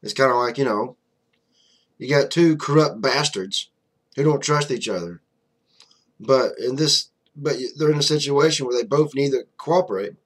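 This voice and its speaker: A young man talks straight into his desk webcam from a small room, airing opinions in a loose, blunt way. He leans on fillers and asides, with a middling pitch and an everyday conversational flow. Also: normal speed, fairly bright tone. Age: young adult. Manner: blunt, conversational, many fillers like "you know". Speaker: vlogger. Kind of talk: casual political commentary to camera. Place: small room indoors, flag on wall behind.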